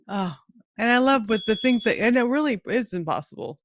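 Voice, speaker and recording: A woman's voice: fairly high pitch, lively intonation, a little slow, dry recording, studio quality. A middle-aged woman hosting a video-call interview, chatty and gushing about books. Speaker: host. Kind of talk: casual interview chat.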